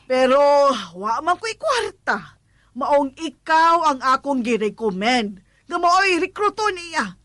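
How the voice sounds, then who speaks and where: A woman playing a skeptical, scolding mother-in-law with deliberate delivery, in a Cebuano Filipino radio drama. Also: high voice, big pitch swings, a bit bright, no echo, clean studio sound, full character voice. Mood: explaining.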